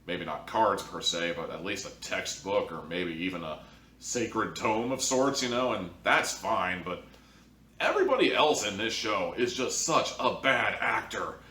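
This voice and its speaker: A man's voice: fairly low pitch, lively intonation, unhurried, pushed and bright. A man hosting an opinionated review, speaking in an exasperated, emphatic way. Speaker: host, audio drama reviewer. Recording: echoey room, distant from mic.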